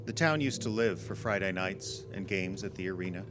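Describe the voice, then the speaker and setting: A man's voice: sits low, normal speed, noisy background. A man reading a scripted story as a narrator, recorded live in a performance club. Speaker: reader of a ghost story.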